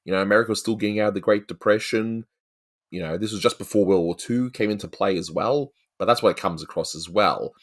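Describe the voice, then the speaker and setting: A man's voice: on the low side, fast. A man with an Australian accent giving casual, rambling, chatty commentary as the host of a review show. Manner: rambling, chatty, peppered with "you know".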